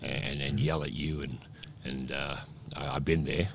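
A man in his sixties, raised in Peru, telling rambling stories with many restarts as a guest in a relaxed interview. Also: rumbling low, measured pace, a little hiss or hum. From Scotland, raised in Peru. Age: 60s. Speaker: guest, oyster bar operator.

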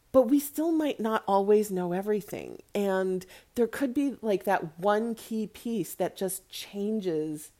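A woman's voice: a little high, a little mellow. A woman hosting a casual, opinionated review monologue, chatty and emphatic, often checking in with the listener. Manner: chatty, emphatic, rhetorical check-ins like "right?" and "okay?". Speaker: podcast host and movie reviewer.

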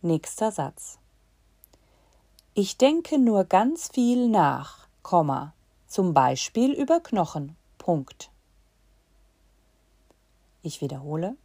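Female teacher reading a dictation, chunked and deliberate, low pitch with a lively melody. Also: no echo. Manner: reads in short chunks and says punctuation aloud. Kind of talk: slow dictation for learners.

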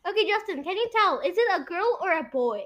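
A female-sounding young host with a high, bright voice. The delivery is casual and excitable, like friends chatting over a game, with phrases often repeated. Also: brisk. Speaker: podcast host. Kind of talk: casual game chat, guessing voice lines.

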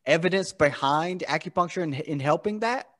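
A man's voice: on the high side, moderate pace, a bit bright. A man hosting a casual interview podcast, talking in an enthusiastic, chatty way. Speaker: podcast host.

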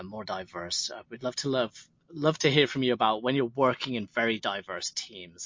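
A man's voice: on the low side, a bit bright, muffled recording. A man hosting an interview, asking a long question and searching for words as he goes. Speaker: podcast host.

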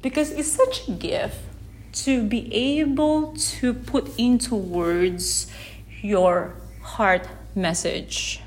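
Filipina woman, tagalog first language, talking slow and soft into her phone, lots of restarts. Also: on the high side, slight background noise, rough recording. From Philippines. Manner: gentle, searching for words, many restarts. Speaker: podcast host. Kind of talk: unscripted reflective podcast monologue. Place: unedited setting with background sound. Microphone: phone held in one hand.

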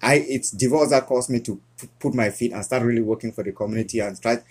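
Earnest Cameroon-born male guest, husky, halting delivery. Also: medium pitch, darkish tone. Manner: earnest, halting with frequent restarts. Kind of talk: casual interview conversation.

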